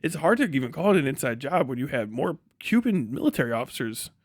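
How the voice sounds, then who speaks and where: A man's voice: mid-range voice, very animated, fast talker, no echo. Blunt, sweary man livestreaming, dry sound. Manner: profane, blunt; sarcastic, acts out mock back-and-forth dialogues. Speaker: livestream host and commentator. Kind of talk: casual political commentary, riffing to live chat.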